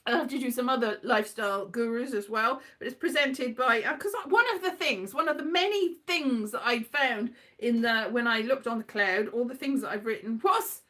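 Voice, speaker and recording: A woman's voice: a little high, normal speed, hardly any pauses, pushed and bright. A middle-aged woman from Wales rambling casually in a scatty, digressive way, thinking aloud and muttering asides to herself. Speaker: writer and performer, host.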